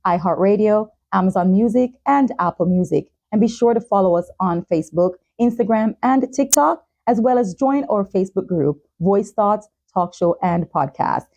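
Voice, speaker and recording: A woman speaks as the host of a talk show. Her voice sits at a medium pitch with a warm, mellow tone. She presents segments and poses questions in interview style. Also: animated, moderate pace, few pauses.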